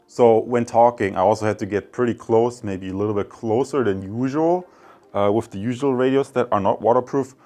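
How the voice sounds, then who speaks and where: A man's voice: fairly low pitch, dark tone. A man giving a casual spoken product review, addressing viewers directly and often repeating his phrases. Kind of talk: casual product review and radio range test commentary.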